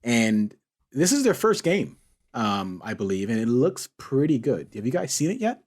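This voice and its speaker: Lively, husky-voiced man hosting. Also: mid-range voice, normal speed. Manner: playful, teasing. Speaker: podcast host. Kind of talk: casual chat, hosting a podcast.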